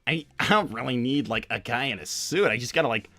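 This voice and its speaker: A man's voice: mid-range voice, lively intonation, pushed and bright, dry recording, faint music behind. A man voicing a casual young hedgehog hero in a cartoon livestream, hesitant and slangy as he talks. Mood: defensive, offhand. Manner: hesitant, halting slangy teen-style talk. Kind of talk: improvised in-character livestream Q&A.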